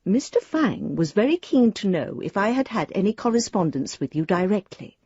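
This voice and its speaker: A woman's voice: mid-range voice, very animated, moderate pace, mellow, slightly rough, no room sound, highs cut off. An actress playing a prim, wryly amused woman reading her letter in a radio drama, formal, arch and politely understated. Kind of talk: radio drama monologue, letter read aloud.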